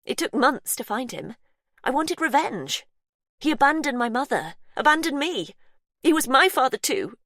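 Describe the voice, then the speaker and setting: A woman reading an audiobook, voicing an unhinged, childlike captor who speaks in a high, breathy, sweetly menacing sing-song. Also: sing-song, normal speed, a bit bright, no room sound, clean studio sound, heavily acted. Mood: bitter.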